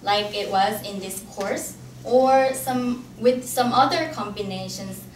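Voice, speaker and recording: A young woman from South Korea, a native Korean speaker with a Korean accent, giving a careful, halting academic presentation as a graduate student. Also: medium pitch. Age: young adult. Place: indoor room at an academic forum. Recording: echoey, noisy room, distant mic.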